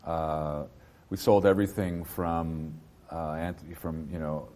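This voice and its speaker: A man in his fifties from New Jersey, a bookseller being interviewed, speaking in a halting way with many fillers and restarts. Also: extremely low pitch, little pitch movement, mellow. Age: 50s. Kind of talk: casual interview.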